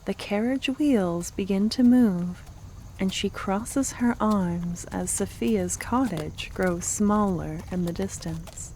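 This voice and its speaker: Slow, soft-spoken woman narrator. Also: mid-range voice, slight background noise, poor audio. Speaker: ASMR roleplay creator and narrator.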